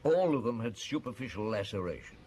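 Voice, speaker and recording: An actor playing a wry old Paris police chief. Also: male voice, mid-range voice, expressive, a little fast, a little mellow, slight background noise, natural voice. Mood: reminiscing. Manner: wry, chuckling storyteller. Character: shrewd middle-aged male Paris police chief. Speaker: narrator and detective. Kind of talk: radio drama acting.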